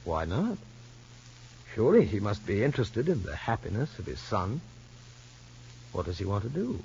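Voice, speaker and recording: Measured, professional psychologist for vintage soap; deep-leaning, deliberate, on a muffled, slightly noisy recording. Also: male voice, sing-song, vocal fry, a little mellow. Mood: firm, reasoning. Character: measured, authoritative male child psychologist, calmly probing. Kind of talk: 1950s soap opera radio acting. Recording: muffled vintage broadcast with faint noise.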